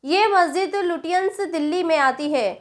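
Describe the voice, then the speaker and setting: A woman's voice: very high-pitched, moderate pace. A woman presenting scripted political commentary, explaining things directly to the viewer. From India.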